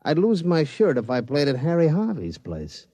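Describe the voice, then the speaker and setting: A man's voice: fairly high pitch, huge pitch swings, normal speed, warm tone, no echo, full character voice. An actor playing a nervous, rambling male gangster underling who talks in folksy slang, in a 1950s radio drama.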